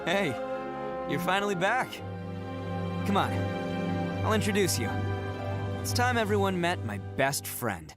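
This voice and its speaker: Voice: medium pitch, sing-song, measured pace, pauses often. An actor voicing a hearty, welcoming oni friend in a video game. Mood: joyful, welcoming. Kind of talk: video game character dialogue. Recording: loud music behind the voice.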